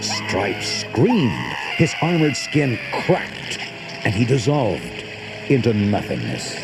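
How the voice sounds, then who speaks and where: A man's voice: mid-range voice, huge pitch swings, slow, creaky, poor audio. A man reading a children's storybook as narrator, with dramatic, suspenseful delivery. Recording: old cassette tape with music behind.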